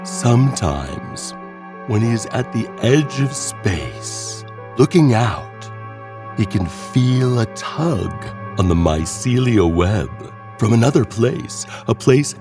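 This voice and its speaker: A man narrating a scripted horror audio drama as a theatrical storyteller, with dramatic pauses. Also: medium pitch, sing-song. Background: music.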